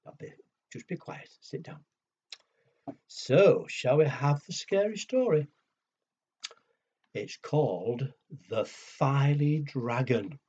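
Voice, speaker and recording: A man telling a story to young children, with a husky voice, hushed dramatic pauses and simple questions to his listeners. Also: mid-range voice, lively intonation. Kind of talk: children's storytelling and nursery rhymes. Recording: dull lo-fi recording.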